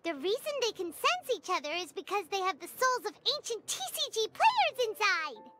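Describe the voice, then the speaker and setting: Casting: tiny excitable fairy sidekick for a game, squeaky, extremely high and animated. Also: female voice, normal speed, heavy background noise. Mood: excited. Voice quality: squeaky, childlike. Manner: refers to self in third person, exclamatory. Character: small childlike fairy companion girl, excitable and blunt. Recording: prominent background music.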